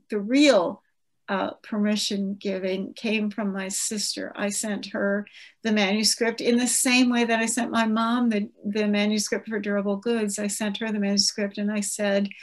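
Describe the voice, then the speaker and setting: A woman in her seventies talks reflectively over a video call. She is an author answering audience questions, gentle and unhurried, often restarting a phrase before going on. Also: mid-range voice. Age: 70s. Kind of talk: virtual book event conversation.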